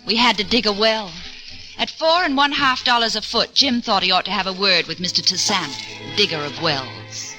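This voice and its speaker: A woman's voice: mid-range voice, animated, normal speed, lightly acted, low-quality recording. An actress playing a wry wife narrating her family's misadventures in a 1940s radio play. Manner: wry, storytelling. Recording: old radio broadcast with music.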